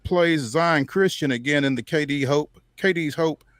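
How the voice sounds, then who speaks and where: Higher-pitched American man, dry sound, sports host. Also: normal speed, fairly bright tone, dry recording. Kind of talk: reading a rundown of local sports schedules.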